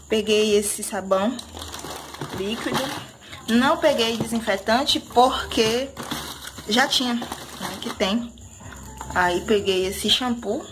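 A woman's voice: medium pitch, noisy background. A woman in her thirties from Brazil, chatting casually as she lists her monthly groceries in a home vlog, recorded on a handheld phone in her kitchen. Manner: chatty, listing items with fillers like "né". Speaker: vlogger. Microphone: handheld phone camera.

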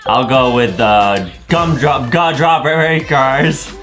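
Slow-paced, playful man with lively intonation. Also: medium pitch. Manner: playful, halting as he guesses. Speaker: co-host of a reaction video channel. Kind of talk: casual guessing-game banter. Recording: loud music bed behind the voice.

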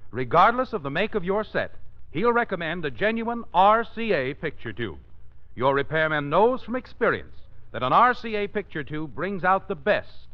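A man announcing on 1950s radio with a polished, emphatic sales delivery. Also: fairly high pitch. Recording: dull 1950s radio recording.

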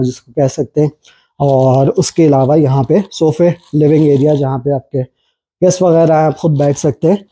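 A man's voice: mid-range voice, mellow, husky, low-quality recording. A man in his thirties walking viewers through a townhouse, talking casually with many hesitant fillers. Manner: hesitant, many drawn-out fillers, mixes English words. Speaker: vlogger giving a house tour. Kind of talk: casual house-tour walkthrough. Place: indoor townhouse rooms and garage.

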